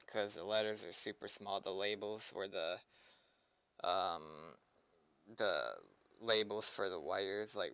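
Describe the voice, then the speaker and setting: A man with a fairly low, bright and very clear voice, recorded dry. He talks casually and unscripted like a streamer at work, wandering and halting as he goes. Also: dry recording. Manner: halting, rambling, sweary. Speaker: streamer doing game development.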